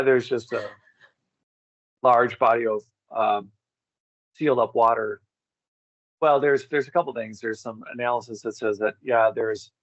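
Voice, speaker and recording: A man's voice: on the low side, no room sound. A man explaining things casually as a podcast guest, hesitant and searching for his words. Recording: close, dry but dull lo-fi mic.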